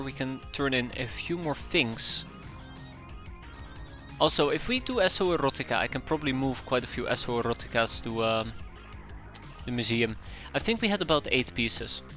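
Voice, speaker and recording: Bright-voiced Dutch man streaming a game. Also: medium pitch. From Netherlands. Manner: rambling, self-correcting, chuckling asides. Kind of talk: casual game commentary to chat. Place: home room.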